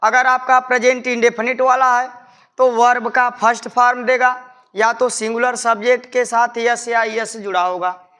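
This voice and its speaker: A man's voice: very high voice, flat delivery, moderate pace, sharp tone, clear, resonant tone. A man with an Indian accent speaking as a teacher, explaining step by step in a didactic way and repeating his instructions. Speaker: English grammar teacher. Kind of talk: instructional lesson, explaining step by step in Hindi with English terms.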